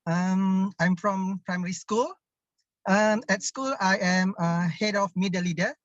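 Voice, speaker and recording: Brief: man from Malaysia, primary teacher, slow, dry dull call audio. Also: high voice. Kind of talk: online meeting self-introduction. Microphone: video call.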